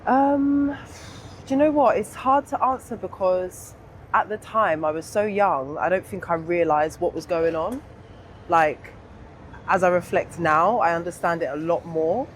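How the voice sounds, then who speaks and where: A young adult woman with a southern English accent speaks candidly and informally in an interview, pausing to think as she reflects. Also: fairly low pitch, slight background noise, poor audio. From England. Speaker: interview guest.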